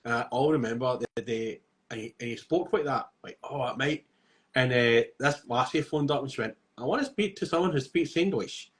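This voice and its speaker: A man's voice: medium pitch, normal speed, a bit bright. A man with a mid-range voice co-hosts a casual podcast chat. He jokes and laughs his way through anecdotes. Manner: jokey, laughs through stories. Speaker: podcast co-host.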